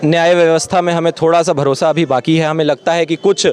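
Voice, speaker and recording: A man's voice: on the high side, steady tone, fast, a little mellow. A young man from Uttar Pradesh, a student protester, arguing passionately in a street interview, firing rhetorical questions. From Uttar Pradesh, India. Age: young adult. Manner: impassioned, argumentative, rhetorical questions.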